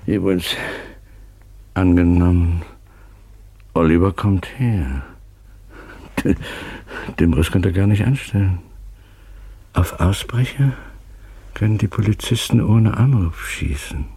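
A man's voice: sits low, takes it slowly, creaky, pauses often, mellow, slightly rough, exaggerated voice. An actor playing an agitated, bitter, domineering father in a German crime radio play, speaking emphatically.

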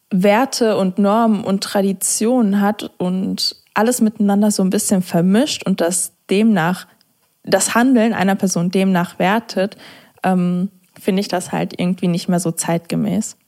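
A young woman speaks as a co-host in an informal discussion. Her voice has an average pitch for a woman. She explains her points in a reasoned, everyday conversational manner. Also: darkish tone.

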